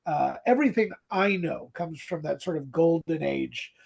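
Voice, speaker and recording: Husky, theatrical podcast host with swooping pitch. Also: animated, normal speed, a little mellow. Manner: theatrical, playfully mock-dramatic. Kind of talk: casual comedic chat about old radio drama.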